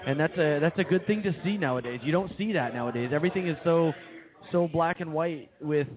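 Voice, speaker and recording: A man's voice: on the high side, brisk. A man co-hosting live talk radio, chatting casually and emphatically with repetition, on a phone-like recording with a crowd behind. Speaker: radio show co-host. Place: live broadcast from a busy brewery. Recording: phone-like sound, loud bar crowd behind.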